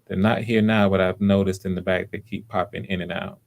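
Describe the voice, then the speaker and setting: A man hosts a casual online livestream in a low voice with heavy fry. He is informal and encouraging, improvising with frequent hesitations. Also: flat delivery, a little fast. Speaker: audition host.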